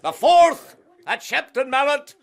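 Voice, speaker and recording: A man playing a severe, pompous judge with a creaky voice in a period radio drama. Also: sing-song, unhurried, heavy background noise, full character voice. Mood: solemn, severe. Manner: booming, solemn, outraged. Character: severe, pompous male judge passing sentence.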